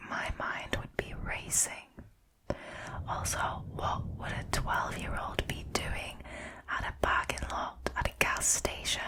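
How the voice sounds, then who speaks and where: A woman, hosting a scary story channel and retelling paranormal encounters in a soft, steady whisper close to the microphone. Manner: soft unvoiced whisper throughout, unhurried.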